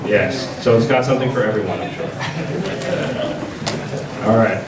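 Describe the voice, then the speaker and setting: A man giving an informal panel presentation as a game publisher, speaking haltingly with stammered repeats. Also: lowish voice. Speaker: visual novel publisher presenting at a convention panel. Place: large indoor convention panel room. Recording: echoey, noisy convention room from far off.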